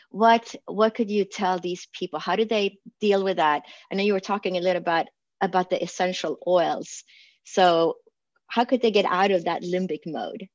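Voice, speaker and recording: A woman's voice: on the low side, dry recording. A woman hosting an interview, affirming her guest and asking questions with frequent ums. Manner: warm affirmations, hesitant with many fillers. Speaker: interview host. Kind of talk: interview conversation. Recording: dry, dull lo-fi audio.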